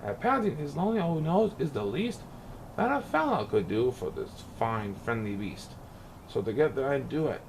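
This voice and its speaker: A man reading a rhyming children's story aloud in an expressive, playful, sing-song way. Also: medium pitch, normal speed, creaky, slight background noise, rough recording. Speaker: picture book reader.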